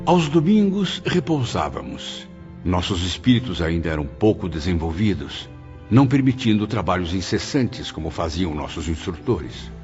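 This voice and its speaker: A man acting an anguished spirit, deep and expressive. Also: normal speed. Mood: composed. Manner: solemn, expressive, formal diction. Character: anguished, remorseful male spirit student recounting his trials. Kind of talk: dramatized audiobook narration and dialogue. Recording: dull lo-fi audio under music.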